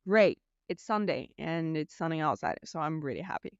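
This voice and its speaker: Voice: medium pitch, lively intonation, moderate pace, dry recording, highs cut off. A podcast host opening the show and asking the guest plain, curious questions.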